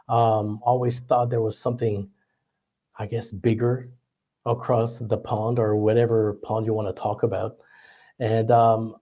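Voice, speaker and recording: A man in his forties from Ottawa with a French Canadian accent, a guest telling his story casually on a video call. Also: medium pitch. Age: 40s. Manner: relaxed, chatty storytelling with fillers. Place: indoor room. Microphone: webcam on a video call.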